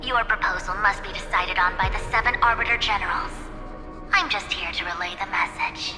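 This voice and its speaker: A woman's voice: mid-range voice, fairly quick, close to an everyday voice. An actress playing a stern, dutiful female envoy in a game cutscene, speaking in a formal, pointed way. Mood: firm, formal. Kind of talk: scripted game cutscene acting. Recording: echoey hall reverb, music underneath.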